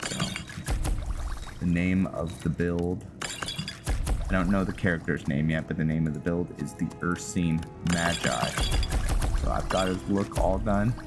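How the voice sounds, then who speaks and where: Male gameplay host, very unhurried and deep, casual, halting as he thinks aloud. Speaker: host of a game playthrough. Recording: music behind the voice. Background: game music.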